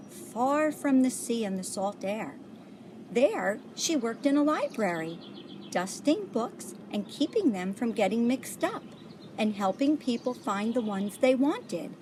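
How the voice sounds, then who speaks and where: A woman reading a picture book aloud to children in a deliberate way, like a volunteer storyteller. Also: fairly high pitch, big pitch swings, a little mellow, a little hiss or hum. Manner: deliberate, pauses to point out pictures.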